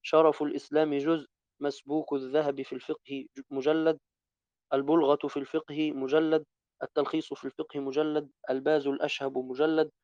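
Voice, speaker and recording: A man reading a classical Arabic text aloud to a teacher in a steady, even recitation, correcting his own slips as he goes. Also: a little high, brisk, no echo, dull audio.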